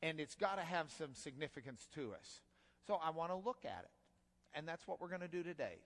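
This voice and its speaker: A man preaching an expository sermon in a conversational, folksy way that builds to emphatic bursts, in a church sanctuary. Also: on the high side. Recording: long hall reverb.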